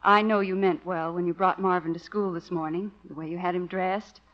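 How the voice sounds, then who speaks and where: An actress playing a composed, earnest schoolteacher, polite and gently admonishing, in 1950s radio comedy. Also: female voice, fairly low pitch, steady tone, a little fast, natural voice. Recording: dull old 1950s radio recording.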